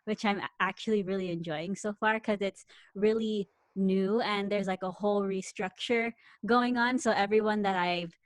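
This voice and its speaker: A woman's voice: medium pitch, steady tone, normal speed, no echo. A woman in her twenties with an American accent, chatting casually in an upbeat way. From US, San Francisco Bay Area. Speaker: podcast guest, podcaster. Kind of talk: casual chat.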